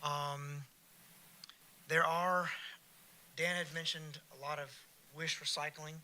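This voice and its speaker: A man's voice: fairly high pitch, flat delivery, takes it slowly, sharp tone, a little hiss or hum. A man representing a waste service, explaining things in an easygoing way at a council meeting.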